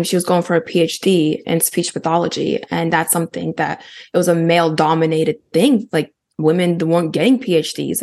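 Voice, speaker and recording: A woman with an American accent, a guest on a podcast. Her voice sits low and carries a gravelly fry. She talks in quick, animated bursts and laughs often. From Ukraine. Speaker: guest, Paralympic athlete. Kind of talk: casual podcast conversation.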